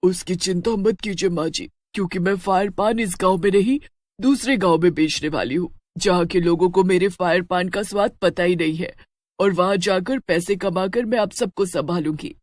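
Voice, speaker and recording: A woman's voice: lowish voice, normal speed, a little mellow, no echo, clean studio sound, lightly acted. A woman playing a determined, earnest village witch devoted to her family in a cartoon story. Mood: reassuring.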